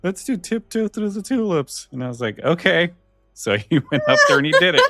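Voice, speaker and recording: A man's voice: high voice, big pitch swings, brisk, a crowd in the background. A man co-hosting a podcast in casual, conversational chat. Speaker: podcast co-host.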